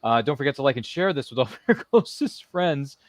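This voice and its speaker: A man's voice: mid-range voice, very animated, fairly quick, no room sound. Man hosting with jokey, slangy delivery, dry sound. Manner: jokey, slangy, theatrical asides to people not present. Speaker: host of a live interview show. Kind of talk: casual livestream chat, reading out viewer comments.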